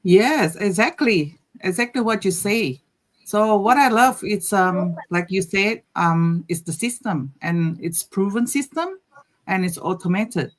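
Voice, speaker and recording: A woman from Indonesia in her fifties, a guest on a live panel, speaking hesitantly with many pauses and "um"s. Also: medium pitch, lively intonation. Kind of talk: casual panel chat.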